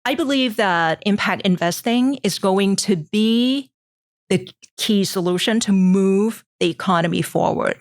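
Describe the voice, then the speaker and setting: A middle-aged woman from Thailand, explaining things in measured podcast answers with frequent ums. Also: medium pitch, dry recording, studio quality. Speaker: guest investor.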